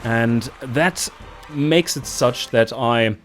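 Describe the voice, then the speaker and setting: A man's voice: medium pitch, very slow, fairly bright tone. A man with a German accent answering viewer questions on his channel, speaking in a precise, carefully articulated and projecting way. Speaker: YouTuber and game developer.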